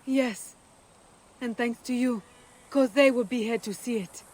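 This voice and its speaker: A woman acting a gentle, grateful expectant mother in a video game. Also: a little high, unhurried. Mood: grateful, warm.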